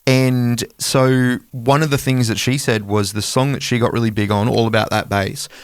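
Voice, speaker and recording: A man's voice: mid-range voice, fairly even intonation, normal speed, smooth, dry recording. A man with an Australian accent chatting casually and informally as a podcast host, with some swearing. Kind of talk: casual chat.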